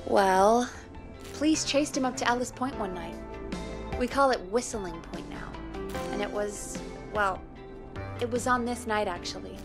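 Casting: high-pitched small-town radio producer in a game, wry, conversational, music underneath. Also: female voice, measured pace, pauses often. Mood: grave storytelling. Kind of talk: video game voice acting. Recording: music playing behind the voice.

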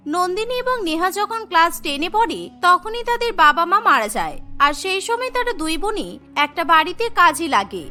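A woman's voice: extremely high pitch, sharp tone. A woman narrating a cartoon story in a scripted storytelling style.

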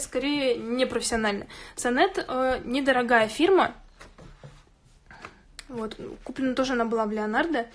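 High-voiced woman giving a drawing tutorial. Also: slight background noise. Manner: chatty, thinks aloud while drawing. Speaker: art tutorial host. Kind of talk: informal drawing tutorial, explaining while working. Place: indoors at a drawing table.

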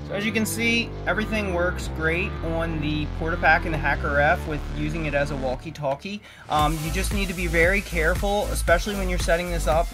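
A tech tutorial host giving a casual hands-on demonstration in a cautionary, instructive manner. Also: medium pitch.

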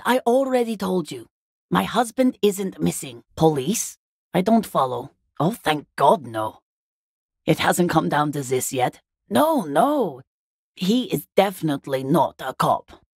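A husky, weary working class woman. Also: on the low side, no echo, clean studio sound, full character voice. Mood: defensive, irritated. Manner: defensive, exasperated, plain-spoken. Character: weary, guarded working class wife and mother. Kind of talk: video game character dialogue.

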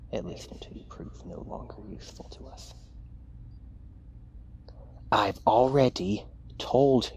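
Creepy mad scientist guy some man acting it, asmr roleplay, low crackly voice, cold n clinical, menacing, bit of background noise. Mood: possessive, sinister. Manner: clinical dictation mixed with hushed, taunting asides.

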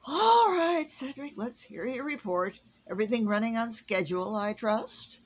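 A woman's voice: on the high side, animated, moderate pace, husky, voice pushed up. A woman voicing an old, jolly Santa Claus worn down by the heat, hearty and exclaiming, in a storybook reading.

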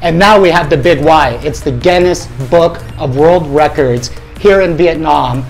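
A man's voice: a little high, unhurried, soft background music, rough recording. A man in his forties, a fitness company head, giving an emphatic motivational talk to camera in a small gym studio, speaking into a lapel mic. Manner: energetic, emphatic, gestures widely with his hands.

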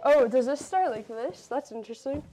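High-voiced excitable woman climber. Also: moderate pace, a crowd in the background, poor audio. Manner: excited, laughs often. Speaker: climbing vlogger. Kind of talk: casual vlog chat while climbing. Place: indoor climbing gym in a barn.

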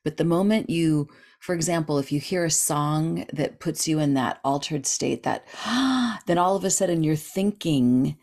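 Low-voiced animated woman guest. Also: measured pace, vocal fry, hardly any pauses. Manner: animated, gestures with hands while talking. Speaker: dream expert guest. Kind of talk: casual interview chat.

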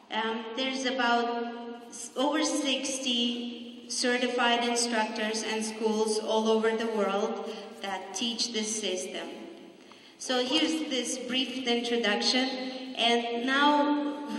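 A woman giving calm, steady live commentary at a martial arts demonstration, with frequent pauses and ums. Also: on the high side. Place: large gym hall. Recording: echoey hall, far from the mic.

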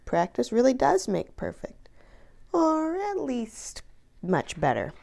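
A woman reading a children's book aloud in an expressive, deliberate way, drawing out key words for emphasis. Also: on the high side, vocal fry.

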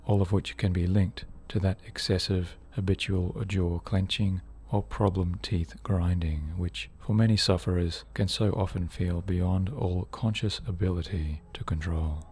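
A man narrating a guided sleep hypnosis session in a soothing, measured, deliberate way, as a hypnotherapist. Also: sits low, fairly even intonation, a little mellow, husky. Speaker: hypnotherapist host. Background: background music.

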